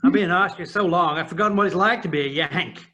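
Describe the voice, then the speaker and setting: A man's voice: sits high, fast talker, sharp tone. Genial, lighter-voiced American man. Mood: genial, joking. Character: helpful, genial American official living in Austria. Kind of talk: audio play acting.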